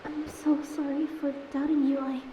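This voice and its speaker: A woman playing a young captive mermaid, proud but wounded and wary, speaking haltingly and emotionally in a fantasy audio drama. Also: high-pitched, flat delivery, warm tone, natural voice. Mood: wary, hopeful. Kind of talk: scripted fantasy audio roleplay. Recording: echoey tank-like reverb, faint ambience noise.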